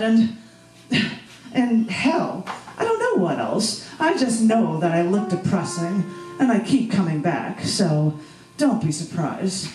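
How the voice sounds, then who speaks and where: A woman's voice: mid-range voice, a little mellow. A woman performing and hosting at a live poetry open mic, speaking to the room from a stage. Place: small bar venue stage at an open mic. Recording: echoey live stage, distant mic, music behind.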